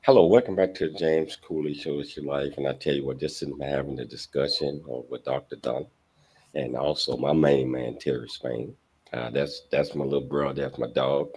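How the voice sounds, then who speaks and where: Very deep-voiced male talk show host. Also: steady tone, fairly quick, a little mellow, slightly rough. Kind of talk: casual conversational talk show hosting.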